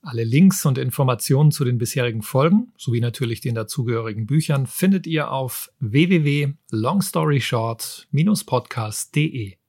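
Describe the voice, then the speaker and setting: Man, book podcast co-host, husky voice, dry studio sound, conversational reviewer. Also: medium pitch, animated, moderate pace, few pauses, darkish tone, no room sound.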